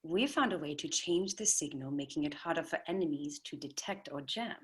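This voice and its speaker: A woman acting as a glamorous, clever inventor and gracious hostess. Her voice is low and husky, self-assured and flirtatious, as heard in a script read. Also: lively intonation, a little fast. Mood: playful, confident. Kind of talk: table read of a screenplay.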